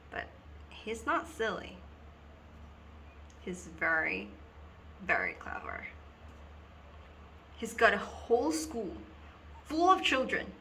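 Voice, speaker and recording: A woman performing a dramatic monologue as a frightened, earnest schoolgirl, with halting, intense phrasing. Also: medium pitch, sharp tone, slight background noise, full character voice. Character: schoolgirl Dina, frightened and earnest, realizing she was hypnotized. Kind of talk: dramatic monologue audition reading.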